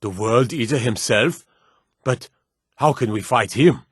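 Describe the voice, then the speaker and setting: A man voicing a stern, loyal guard commander in a fantasy video game, with a gruff, weighty, formal delivery. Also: mid-range voice, measured pace, dry recording, studio quality. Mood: grave. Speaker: voice actor for game guard lines.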